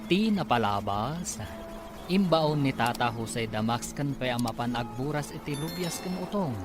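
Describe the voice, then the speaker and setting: Animated male radio drama announcer. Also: medium pitch. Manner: deliberate, emphatic delivery. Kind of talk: dramatic scripted narration and credits.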